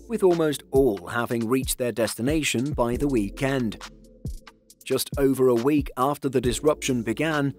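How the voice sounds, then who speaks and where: A man reading a scripted news-explainer narration in a measured, clearly articulated way. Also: mid-range voice, a little mellow. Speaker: video narrator.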